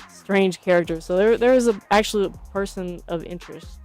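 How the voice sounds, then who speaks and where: A woman giving interview answers as an author, explaining things in a measured, hesitant, careful way. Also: mid-range voice, a little mellow, soft background music. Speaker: journalist and author being interviewed.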